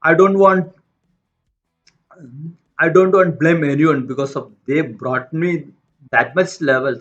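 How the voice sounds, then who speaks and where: Halting Tamil-accented man, dull lo-fi sound. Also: fairly high pitch. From India. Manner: halting, with many restarts and repeated words. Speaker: motivational vlogger. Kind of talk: unscripted personal talk.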